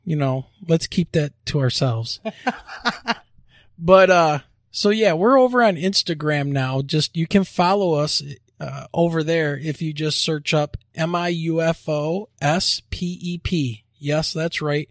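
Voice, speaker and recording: A man co-hosting a podcast, chatty and upbeat in casual talk, quick to laugh. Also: a little high, dry recording, clean studio sound. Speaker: podcast co-host.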